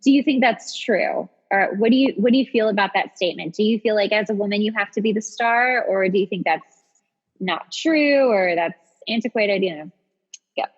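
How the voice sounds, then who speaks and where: A woman in her thirties with a husky voice, chatting casually and telling stories in an animated, self-deprecating way as a podcast host. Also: medium pitch, normal speed. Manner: animated, self-deprecating storyteller who acts out quotes. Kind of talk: casual chat and storytelling. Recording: dull audio with long reverb.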